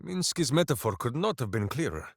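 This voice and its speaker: Bombastic, big-hearted warrior, wildly animated. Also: male voice, medium pitch, normal speed, vocal fry, slightly rough, no echo, studio quality, exaggerated voice. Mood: hearty, earnest. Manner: bombastic, theatrical, speaks of himself in third person. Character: hearty, simple-minded, boastful heroic berserker warrior devoted to his hamster. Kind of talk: video game character voice lines.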